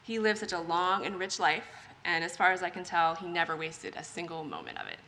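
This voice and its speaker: A middle-aged woman addresses a memorial gathering with a prepared, composed speech. Her voice is bright and fairly low in pitch, and she is articulate. There is clear noise behind her in the live recording. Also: big pitch swings, brisk. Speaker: family member giving a memorial reading. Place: memorial gathering at a retirement community.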